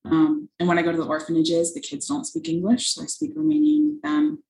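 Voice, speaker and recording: A woman's voice: fairly low pitch, sing-song, fairly quick, creaky, a little mellow, reverberant, poor audio. A young woman, a missionary, answering interview questions earnestly and thoughtfully, with frequent ums. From United States.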